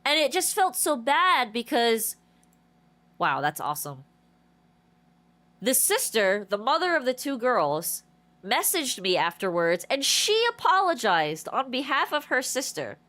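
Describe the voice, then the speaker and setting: Woman, streamer, stuffy nasal congested voice, high pitch, rambling chatty storytelling. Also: measured pace. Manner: rambling, animated storytelling with many restarts. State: congested, nose blocked. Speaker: game streamer. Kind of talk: casual livestream chat.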